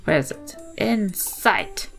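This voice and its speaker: A woman's voice: mid-range voice, sing-song, slow, creaky, soft background music. A woman with a New Zealand accent chatting casually as a player in a tabletop roleplaying podcast, questioning and sceptical. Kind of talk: casual improvised game chat.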